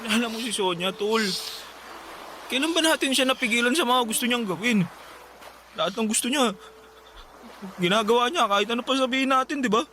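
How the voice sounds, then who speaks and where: A man's voice: very high-pitched, expressive, fairly quick, long pauses, bright, forceful tone, a little hiss or hum, exaggerated voice. An actor playing a sorrowful young male friend in an emotional radio drama, with a Filipino accent. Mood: sorrowful, defensive.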